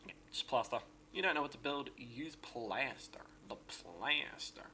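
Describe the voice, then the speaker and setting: A man with a sore, raspy voice giving casual, rambling commentary on a game build and correcting himself as he goes. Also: mid-range voice, animated, unhurried, sharp tone, a little hiss or hum. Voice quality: raspy, gravelly. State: sore, hoarse voice. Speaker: game streamer.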